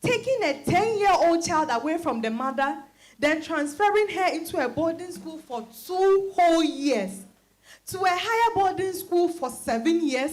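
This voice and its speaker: An educated modern woman played by an actress, torn and reflective. Her voice is high, and she delivers her dramatized monologue deliberately, leaning on repetition for emphasis. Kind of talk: dramatized literary reading.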